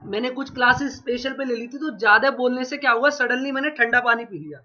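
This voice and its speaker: A man's voice: extremely high pitch, quick pace, bright, forceful tone. Man teaching with a sore throat. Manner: drills points by asking and repeating answers. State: sore throat from a cold, coughing. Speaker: geography teacher. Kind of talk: online exam-prep lecture. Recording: lo-fi dull recording.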